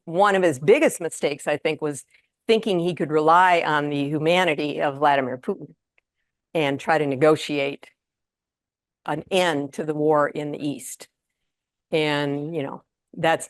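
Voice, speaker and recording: A woman's voice: low voice, dry recording, studio quality. A retired older woman giving a casual lecture to an audience, with many fillers and restarts. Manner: conversational, frequent fillers and restarts. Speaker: guest lecturer. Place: lecture room.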